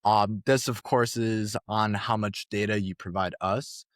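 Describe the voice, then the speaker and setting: A man's voice: on the low side, fairly even intonation, a little slow, no echo, clean studio sound. A young man, a startup co-founder, explaining his product in a casual podcast interview with some fillers.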